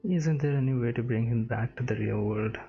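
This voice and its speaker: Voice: mid-range voice, a little fast, creaky. A casual game streamer with a mid-range voice murmurs empathetic reactions while playing. The sound is a little dull and lo-fi. Faint game music runs underneath.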